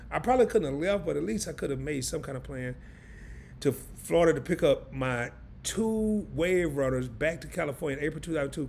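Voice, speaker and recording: Hoarse, gravelly-voiced man. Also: a little high, slight background noise. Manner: loose and slangy, talks straight to the viewers. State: hoarse, losing his voice. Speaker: live stream host. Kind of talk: casual live stream chat.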